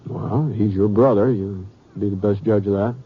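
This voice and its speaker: Easygoing cowboy, muffled old radio. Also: male voice, fairly low pitch, fairly even intonation, normal speed, husky. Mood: mild, reasonable. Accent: American. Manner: folksy, laconic Western drawl. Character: easygoing, plain-spoken cowboy who narrates his own tale. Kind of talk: old-time radio western acting and narration. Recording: muffled vintage radio recording.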